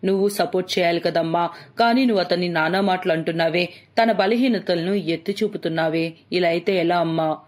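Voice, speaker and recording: A woman voicing a gentle, concerned older mother-in-law in a moral story, speaking in an admonishing manner. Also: lowish voice, natural voice. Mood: gently reproachful. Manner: slow, admonishing.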